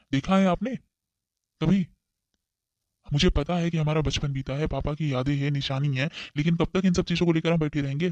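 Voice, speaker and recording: A man's voice: a little high, a little mellow, no room sound, dull audio, lightly acted. A man voicing a practical, stubborn grown son in a cartoon family drama, speaking insistently and earnestly. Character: practical, stubborn young adult son and brother. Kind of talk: amateur cartoon voice acting.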